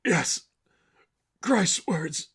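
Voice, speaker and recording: A man's voice: high-pitched, animated, a bit bright, husky, pitched above the performer's own voice, heavily acted. Man acting out a furious, anguished young vigilante woman. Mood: shaken, urgent. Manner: screaming demands, then halting, shaken short phrases.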